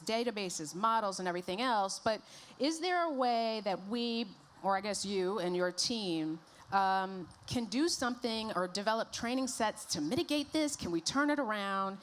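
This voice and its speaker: A woman moderating a conference panel, talking to the crowd in an energetic, emphatic way. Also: mid-range voice, moderate pace. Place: stage at an advertising festival.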